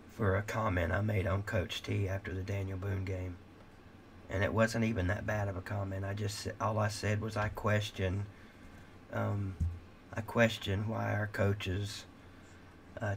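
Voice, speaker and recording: A man's voice: lowish voice, slight background noise. A middle-aged man hosting a late-night livestream, talking in a rambling, candid way with swearing, and his voice is giving out. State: losing his voice. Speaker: livestream host.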